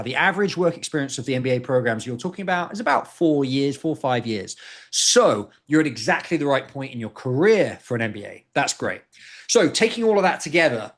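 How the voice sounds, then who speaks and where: Brisk man hosting a casual talk show. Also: mid-range voice, a bit bright. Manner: brisk, direct, itemized evaluation. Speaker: host, admissions consultant. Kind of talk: casual podcast chat, reading and critiquing posts.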